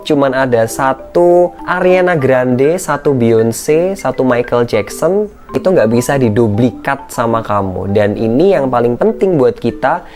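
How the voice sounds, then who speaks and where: A man with a thin, shrill voice, giving an informal, encouraging educational talk as a content creator, slipping in English phrases. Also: darkish tone. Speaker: content creator and public speaking coach. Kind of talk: casual motivational educational vlog talk.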